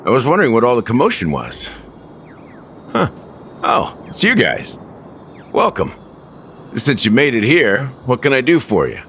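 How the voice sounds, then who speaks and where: A man's voice: mid-range voice, huge pitch swings, moderate pace, gravelly, pauses often, slight background noise, full character voice. A man playing a laid-back, middle-aged investigator in an anime game, casual and wry as he welcomes people. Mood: wry, welcoming. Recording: loud game music behind. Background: loud game music.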